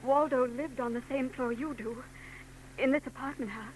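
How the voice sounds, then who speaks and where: An actress playing a troubled young married woman in a 1940s radio drama, soft and hesitant. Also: sits high, steady tone, moderate pace, close to an everyday voice, rough recording. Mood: guarded. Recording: old radio broadcast with hum.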